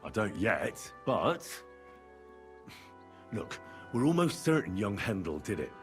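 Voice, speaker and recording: A man's voice: fairly low pitch, sing-song, slow pace, faint music behind. A man voicing an earnest, determined young investigator in a medieval video game cutscene, talking plainly and conversationally. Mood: scheming, resolute.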